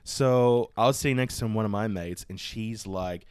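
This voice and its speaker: A man's voice: on the low side, normal speed, a little mellow, clear, resonant tone, dry recording, clean studio sound. A man hosting a comedy podcast, telling casual stories with slangy swearing and acted-out quotes. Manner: sweary, slangy, acts out quotes in mock outrage. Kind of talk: casual chat, comic storytelling.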